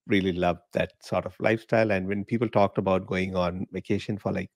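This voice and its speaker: Middle-aged man from Sri Lanka, low and dry, easygoing and laughing podcast guest. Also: brisk, no echo. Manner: relaxed, chatty, laughs often. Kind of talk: casual interview chat. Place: home living room. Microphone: podcast mic in frame, video call.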